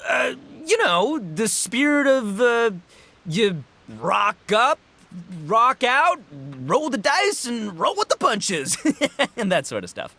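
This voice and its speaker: A man's voice: very high-pitched, huge pitch swings, slow, gravelly, bright, forceful tone, slight background noise, full character voice. A man voicing a brash, boastful young gang boss and rocker in a video game, with cocky, boisterous, slangy delivery. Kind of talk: animated video game voice acting.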